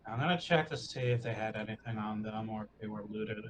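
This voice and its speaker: A man's voice: fairly low pitch, a little fast, a little hiss or hum. A man playing a tabletop roleplaying game, casually talking through what his character does.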